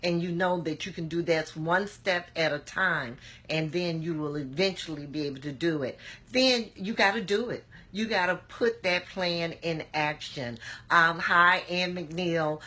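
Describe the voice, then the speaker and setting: A woman, hoarse from a cold, giving an earnest, encouraging motivational talk to camera with plenty of filler "um"s. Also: on the low side, fairly even intonation, unhurried, pushed and bright. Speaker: motivational vlogger.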